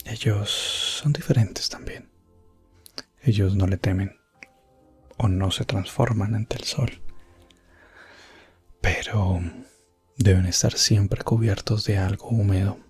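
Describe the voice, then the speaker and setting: A man playing an elf prince adventurer, telling a fantasy ASMR tale in a soft, breathy, often whispered voice with solemn pauses. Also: on the low side, fairly even intonation, soft background music, heavily acted. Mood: calm, reflective. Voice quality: breathy, hushed. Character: elf prince adventurer recounting his rescue quest, gentle and solemn. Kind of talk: ASMR fantasy roleplay storytelling.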